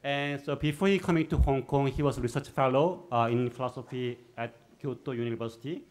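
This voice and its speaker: A man's voice: medium pitch, brisk, darkish tone. Hesitant man introducing a speaker. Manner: hesitant, with many fillers. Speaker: moderator.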